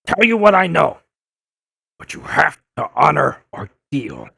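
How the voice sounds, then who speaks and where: An actor playing a gruff, blustering older newspaperman in an audio drama, insistent and quick to insult. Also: on the high side, very animated, sharp tone, no echo, studio quality, heavily acted. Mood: combative, boastful. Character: gruff, combative older male newspaperman turned blogger. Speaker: investigative reporter interviewing a superhero. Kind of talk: audio drama dub acting.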